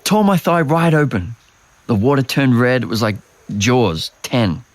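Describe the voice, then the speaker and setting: An actor playing a troubled, self-doubting young man, husky and gravelly, speaking in a casual, self-deprecating way in a naturalistic audio drama. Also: mid-range voice, expressive, measured pace, dry recording, natural voice. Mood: rueful. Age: young adult.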